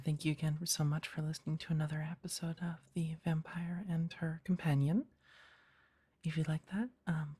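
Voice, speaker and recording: A woman speaking softly and casually as an audio roleplay creator, addressing listeners in a channel outro. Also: low voice, husky. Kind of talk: soft-spoken channel outro.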